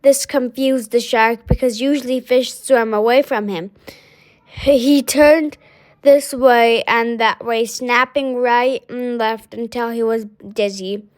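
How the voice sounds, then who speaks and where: Slow, high-voiced woman reading a storybook. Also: fairly even intonation. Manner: halting, stumbling over words. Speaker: storybook reader. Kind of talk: children's story read-aloud.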